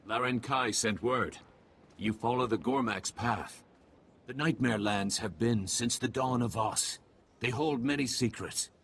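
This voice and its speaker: A man voicing a grave, calm alien mystic in a video game, giving terse, ominous pronouncements in a husky, creaky voice. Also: medium pitch, measured pace, a little hiss or hum. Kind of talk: video game cutscene acting.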